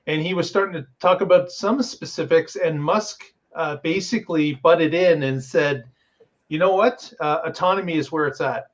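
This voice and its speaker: Male panelist, fairly high-pitched, halting delivery with pauses, informal commentary, dull low-fidelity recording. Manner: halting, pausing between phrases. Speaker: talk show panelist. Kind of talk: casual commentary.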